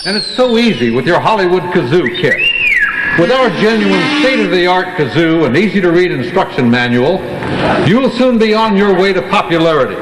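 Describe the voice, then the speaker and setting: Casting: male vintage radio pitchman, higher-set voice, theatrical salesman patter, live in a reverberant noisy hall. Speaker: radio show announcer. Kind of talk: 1940s radio announcer pitch, mock commercial. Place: large hall, live stage show. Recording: echoey hall, clear background noise.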